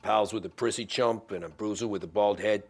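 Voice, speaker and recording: A soft-hearted, easygoing gangster in a game cutscene. His voice is on the low side, with faint music underneath. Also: brisk, breathy edge. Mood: matter-of-fact. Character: easygoing, soft-hearted male mob driver. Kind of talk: dramatic video game cutscene acting.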